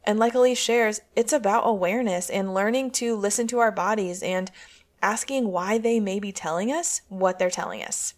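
A woman's voice: mid-range voice, normal speed. Encouraging woman podcast host. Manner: earnest, encouraging. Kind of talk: podcast intro and interview.

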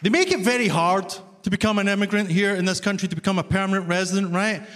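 Scottish man, Glaswegian accent, stand-up comic, wry and self-mocking, high voice, echoing concert hall. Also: fast. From Scotland. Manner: wry, self-mocking, asks the crowd "right?". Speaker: stand-up comedian. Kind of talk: live stand-up set. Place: large concert hall stage, audience laughing. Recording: live hall reverb, faint room noise.

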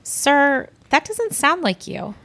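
A woman's voice: high voice, sing-song, slow, vocal fry, a bit bright, heavily acted. A woman playing an ambitious, practical alien officer in an audio play, speaking in a dry, matter-of-fact, officious way. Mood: wary, questioning.

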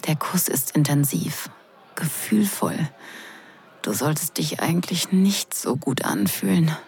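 A woman acting in an intimate audio drama as a teasing wedding guest who is drawn in, breathy and hushed. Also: low voice, sing-song, in a whisper, soft background music. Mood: hushed, tender. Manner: breathy, hushed, slow and sensual delivery.

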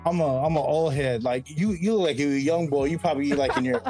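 A man's voice: fairly high pitch, fast talker, music in the background. Laid-back rambling middle-aged man. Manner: laid-back, rambling, slangy with frequent "you know what I mean". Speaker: podcast guest. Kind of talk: casual interview chat.

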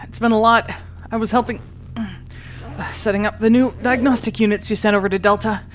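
A woman's voice: medium pitch, fairly even intonation, normal speed, a little hiss or hum, natural voice, rough recording. A woman playing an awkward, polite moon base worker in an audio drama, hesitant and stumbling over words.

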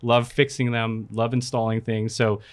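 A man born in the Philippines and raised in California, a guest speaking casually and warmly with frequent fillers. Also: medium pitch, flat delivery, normal speed. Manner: friendly, talkative, frequent uh fillers. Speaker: guest, product manager. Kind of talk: casual podcast interview.

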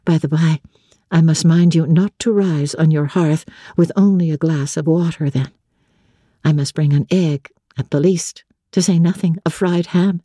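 A woman voicing a devoted young woman, tender, practical and gently teasing, with composed, formal speech in a dramatic audiobook. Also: deep voice, fairly even intonation, moderate pace, no echo, clean studio sound. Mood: brisk, teasing. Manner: composed, playful, formal old-fashioned speech. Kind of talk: dramatic literary audiobook reading.

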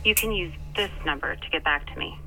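A woman's voice: on the low side, brisk. An actress playing a coy, hesitant former employee, a woman angling for work on a telephone voicemail in an audio drama. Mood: coy, hopeful. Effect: telephone voicemail filter. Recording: dull band-limited phone voicemail.

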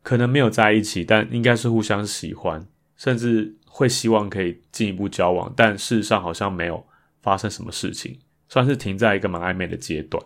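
A man's voice: lowish voice, brisk. A man with a Taiwanese Mandarin accent, hosting a podcast in a reflective, casual monologue. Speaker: podcast host. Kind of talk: reflective casual monologue about improvised songs.

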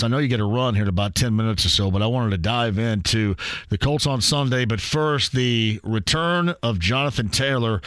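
A man's voice: mid-range voice, fairly bright tone, no echo. A male sports radio host making his case earnestly and with emphasis.

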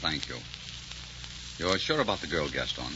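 Imperious, sweeping-voiced desert sheikh. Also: male voice, very deep voice, big pitch swings, normal speed. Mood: cold, vengeful. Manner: suave, theatrical, commanding. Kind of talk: 1940s radio drama acting. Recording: noisy, muffled 1944 radio recording.

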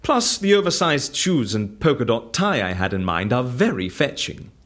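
A man's voice: mid-range voice, very animated, normal speed, fairly bright tone. A man in an audio drama playing an eccentric, suave, flippant fixer with a breezy, drily playful manner. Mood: gleeful, whimsical. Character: eccentric, suave, flippant male fixer who disappears people.

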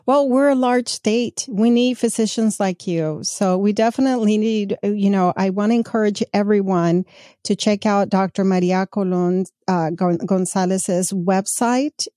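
A woman's voice: medium pitch, warm tone, no echo, studio quality. A woman hosting a podcast, asking questions in a friendly, chatty way with light teasing. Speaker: podcast host. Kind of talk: podcast interview.

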